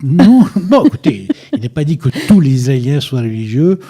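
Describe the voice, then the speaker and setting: An older man from Egypt speaks into a studio microphone in a very dark, mellow voice. He halts and repeats words as he searches for them, then presses his point firmly. He sits a little back from the mic at times. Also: mid-range voice, big pitch swings, moderate pace. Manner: hesitant, repeats words while searching, firm and insistent. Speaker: guest. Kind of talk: radio interview. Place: radio studio. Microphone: studio microphone, at times too far away.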